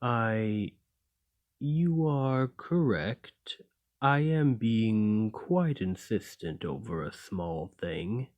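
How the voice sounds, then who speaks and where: A man voicing a gentle, lonely golem in an ASMR roleplay, speaking in a formal, measured and courteous way. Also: lowish voice, lively intonation, muffled recording. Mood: measured, coaxing.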